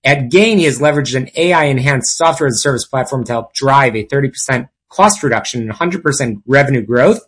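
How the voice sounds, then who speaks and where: A man's voice: medium pitch, a little fast, hardly any pauses, a bit bright, slightly rough. A man hosting a business talk show, introducing a guest and asking questions in an upbeat, polished manner. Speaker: show host. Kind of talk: interview hosting.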